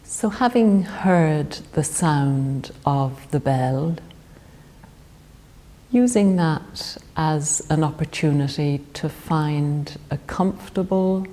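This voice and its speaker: A woman leading a guided meditation in a calm, gentle, soothing manner. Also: deep voice. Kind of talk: guided body scan meditation.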